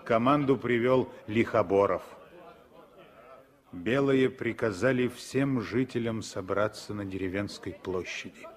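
A man narrating a 1960s radio drama as a lyrical, expressive storyteller with measured phrasing. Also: lowish voice, natural voice. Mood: grave. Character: lyrical male storyteller of a civil war tale.

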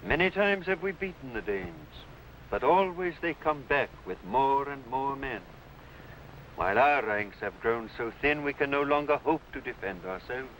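Man playing noble grave medieval king, declaiming, forceful voice, faintly noisy old film. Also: medium pitch, lively intonation, moderate pace, close to an everyday voice. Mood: grave, troubled. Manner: declamatory, archaic. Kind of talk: 1950s TV historical drama acting.

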